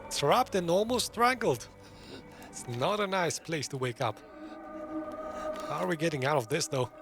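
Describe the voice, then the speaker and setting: A man's voice: on the high side, measured pace, music in the background. A man hosting a gaming video with casual, off-the-cuff commentary on the gameplay.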